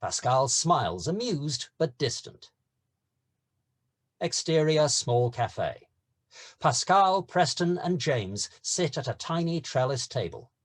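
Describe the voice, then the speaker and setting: Husky, animated male screenplay narrator. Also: fairly high pitch, slow. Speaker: narrator reading stage directions. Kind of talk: scripted narration.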